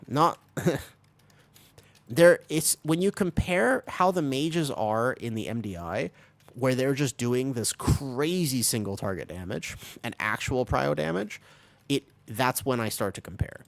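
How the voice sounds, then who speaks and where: A man streaming a video game live, chatting with viewers and explaining tactics while he plays, his voice still raspy from being sick. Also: mid-range voice, lively intonation, measured pace, no echo. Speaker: streamer playing a tank. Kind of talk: live gameplay commentary.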